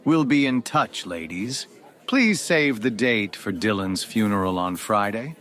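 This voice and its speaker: A man's voice: on the low side, expressive, measured pace, fairly bright tone. A man playing a cold, menacing rich man in his forties in an audio drama, smug and controlled with veiled threats. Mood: veiled menace.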